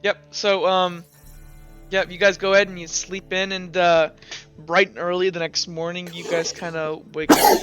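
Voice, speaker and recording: A man acting as game master, improvising narration for a tabletop game in an informal, halting way. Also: high-pitched, little pitch movement, a bit bright, clean tone. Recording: music behind the voice.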